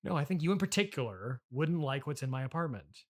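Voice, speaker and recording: A man's voice: a little high, lively intonation, fast. A man interviewing in an improvised comedy conversation, hesitant and stammering, with an awkwardly defensive manner.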